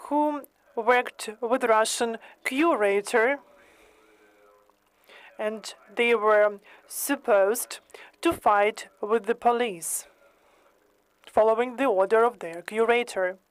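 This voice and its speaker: A woman hosting and interpreting into English, speaking carefully with many pauses and fillers. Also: on the high side, rough recording. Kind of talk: slow consecutive interpreting in English.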